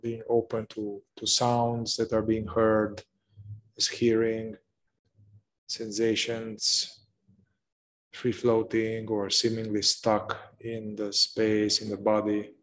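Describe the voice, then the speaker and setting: Guy doing a guided meditation, really slow, flat steady pitch, gentle, lots of pauses. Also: slight background noise. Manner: gentle, unhurried phrasing with long pauses.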